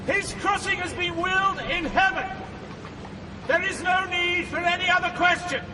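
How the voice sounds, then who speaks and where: An actor playing a commanding spirit guide who forcefully defies guardians in an audio drama. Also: male voice, very high-pitched, moderate pace. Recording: distant, noisy background.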